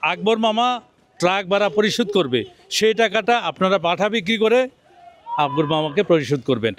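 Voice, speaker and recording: Man, high voice, middle-aged, reporter, persuasive, outdoor market, handheld mic. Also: animated, normal speed. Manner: persuasive, addresses viewers directly. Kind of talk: on-location promotional interview. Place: outdoor livestock market under a bamboo shed.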